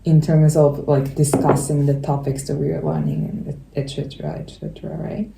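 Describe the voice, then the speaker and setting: Low gravelly Japanese woman chatting. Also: little pitch movement, very noisy. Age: 20s. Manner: fillers and quick bursts. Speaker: podcast co-host. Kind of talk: casual chat. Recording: echoey room, faint ambience.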